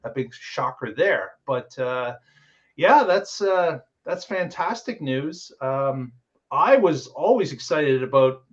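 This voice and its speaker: A man's voice: mid-range voice, animated, takes it slowly, lo-fi sound. A man hosting a casual podcast, talking through the week's news with many filler words. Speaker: podcast host.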